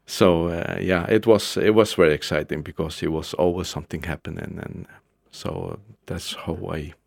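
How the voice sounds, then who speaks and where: A man's voice: deep voice, fairly even intonation, moderate pace, creaky, a little mellow, dry recording. A middle-aged man from the Faroe Islands, a guest in a studio interview, talking casually with many fillers and restarts. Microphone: studio mic.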